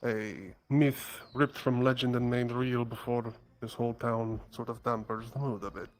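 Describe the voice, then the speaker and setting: A man's voice: mid-range voice, fairly even intonation, normal speed, lightly acted. A man playing a proud, sceptical castellan with a dry, formal manner in an improvised fantasy roleplay. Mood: wry, sombre. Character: wry, proud, sceptical newly promoted castellan.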